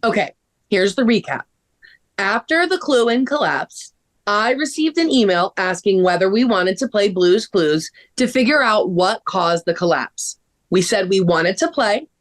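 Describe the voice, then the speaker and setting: A woman playing an earnest, take-charge amateur sleuth in a scripted table read. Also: mid-range voice.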